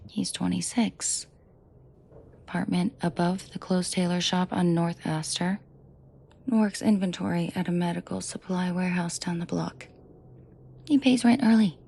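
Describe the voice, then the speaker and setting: A woman playing a menacing, protective and flirtatious mafia boss in an intimate audio roleplay, with a breathy, husky voice and dry sarcasm. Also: warm tone, full character voice. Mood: dry, simmering. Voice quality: breathy, husky low voice with vocal fry. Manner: slow, deliberate, dryly sarcastic, often dropping to a murmur. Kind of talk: intimate audio roleplay acting addressed to the listener.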